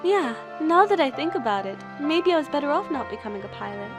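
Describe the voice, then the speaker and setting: A woman's voice: high-pitched, very animated, fast talker, over music. A woman playing an earnest, plucky young military analyst in an audio drama, speaking expressively. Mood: candid, reflective.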